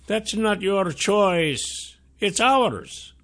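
Old wizard, protective and unhurried, high thin voice put on by a male narrator. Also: expressive, fairly bright tone, exaggerated voice. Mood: earnest.

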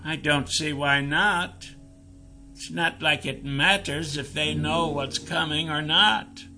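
A man acting out gruff, menacing enforcers in a dramatized fantasy audiobook, with a gravelly voice and a sneering manner. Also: fairly high pitch, steady tone, takes it slowly, bright, forceful tone, faint music behind, exaggerated voice. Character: gruff, bullying male enforcer and his jeering cronies.

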